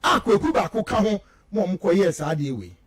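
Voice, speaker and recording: A man's voice: high voice, sing-song, fairly quick. A Ghanaian man, a native Twi speaker, giving emphatic, accusatory political radio commentary in a declamatory way. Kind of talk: political talk radio commentary, mixing local language and English.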